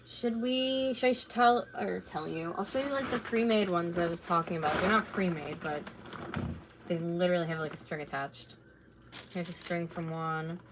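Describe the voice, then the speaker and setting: A woman in her late twenties, slightly raspy from talking, chatting casually and rambling while she crafts at home on a live stream. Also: on the low side, normal speed, background noise. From North America. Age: late 20s. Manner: rambling, chatty, laid-back with filler words.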